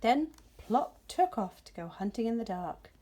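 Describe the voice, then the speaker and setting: A woman narrates a children's storybook in a gentle, expressive manner. Her voice is fairly high and mellow, slightly breathy, with animated intonation. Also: measured pace. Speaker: storybook reader.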